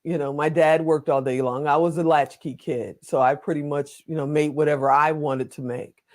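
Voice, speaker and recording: A man's voice: on the high side, fairly even intonation, normal speed. A man interviewing a guest as a talk show host, chatty, informal and joking in his delivery.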